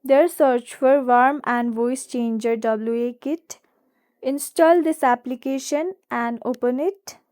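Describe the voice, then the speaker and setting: A woman's voice: high voice, slow, a little mellow, clean tone. A woman hosting a tutorial, narrating scripted how-to instructions step by step. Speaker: tutorial host.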